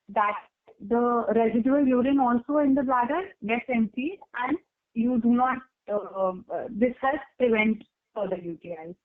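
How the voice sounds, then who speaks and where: A woman role-playing a doctor in a practice exam, explaining advice haltingly with many fillers. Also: a little high. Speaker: doctor candidate in a role-play. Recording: tinny phone line.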